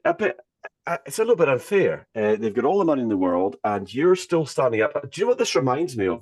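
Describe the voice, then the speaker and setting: A man's voice: mid-range voice, darkish tone. A man hosting an interview, speaking in an emphatic, rhetorical way. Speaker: interviewer, host.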